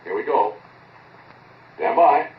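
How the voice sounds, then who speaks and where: An actor playing a confident, casually bossy American amateur inventor, brisk in manner, in a 1940s radio drama. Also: male voice, mid-range voice, lively intonation. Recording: muffled, roomy, distant, faintly noisy 1940s radio.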